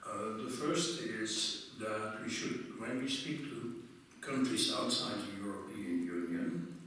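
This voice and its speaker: An older man from the Netherlands and Belgium, a panelist giving measured, reflective answers with wry asides in a panel debate. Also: lowish voice, a bit bright, slight background noise. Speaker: panelist, special envoy. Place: large conference hall. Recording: echoey hall, voice far off.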